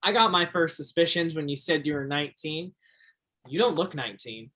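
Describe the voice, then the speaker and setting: An actor playing an earnest, curious twenty-two-year-old backwoods local in a stage play, gentle and simple in manner. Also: medium pitch, expressive, brisk. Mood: reassuring.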